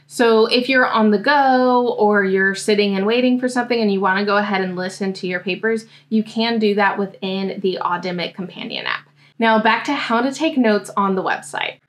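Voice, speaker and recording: A young American woman giving a casual software tutorial, explaining things step by step. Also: medium pitch, expressive, moderate pace. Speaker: host, academic coach demonstrating software. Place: indoor room, seated at a desk.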